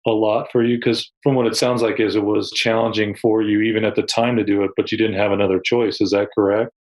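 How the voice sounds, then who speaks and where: A man's voice: on the low side, flat delivery, fast, darkish tone, low-quality recording. A man hosting a podcast interview, asking casual, leading questions. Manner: conversational, asks leading questions. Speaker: podcast host.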